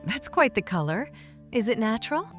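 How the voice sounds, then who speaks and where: A woman playing a warm, friendly young adventurer with a gently teasing manner in a fantasy story. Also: on the high side, sing-song, normal speed, faint music behind. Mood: playful curiosity.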